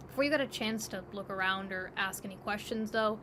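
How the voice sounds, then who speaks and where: A woman's voice: medium pitch, brisk, bright, forceful tone, slight background noise. A woman narrating a scripted second-person story as the narrator.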